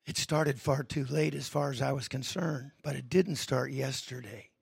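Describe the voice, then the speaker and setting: A middle-aged male pastor preaching a sermon, moving from quiet reflection to loud, emphatic points. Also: medium pitch, fairly even intonation, moderate pace, gravelly, slightly rough, no echo, studio quality.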